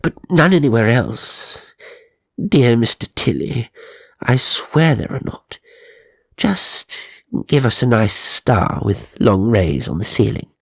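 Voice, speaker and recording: A man's voice: medium pitch, takes it slowly, vocal fry, no echo, pitched above the performer's own voice, exaggerated voice. Guy doing wheedling fake lady medium, raised voice, gushy and coaxing, ghost story audiobook. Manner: gushing, flattering, coaxing. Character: wheedling, fraudulent female spirit medium.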